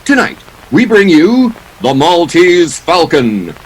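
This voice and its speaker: A man's voice: a little high, sing-song, takes it slowly, breathy edge, low-quality recording. A man announcing a radio play in scripted 1940s style, with a grand, showy manner.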